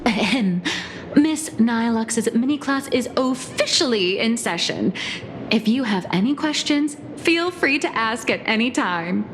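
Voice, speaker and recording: A woman's voice: a little high, lively intonation, measured pace, noisy background. An actress playing a sly, boastful young female comic artist in a video game, glib and playfully mock-formal. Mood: lecturing. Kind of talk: animated game voice acting. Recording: reverberant studio voice over game music.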